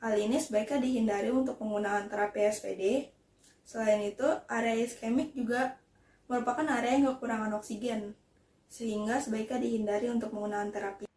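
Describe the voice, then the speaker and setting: High-voiced young woman presenting, echoey room. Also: fairly even intonation, brisk, darkish tone, a little hiss or hum. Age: young adult. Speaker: student presenter. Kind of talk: academic presentation, explaining point by point. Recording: roomy, echoing voice recording.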